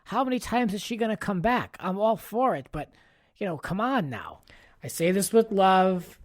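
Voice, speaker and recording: A man's voice: sits high, lively intonation, normal speed. A man hosting a podcast, giving a casual, exasperated and opinionated fan commentary peppered with "like". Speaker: podcast host. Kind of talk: casual pop-culture commentary rant.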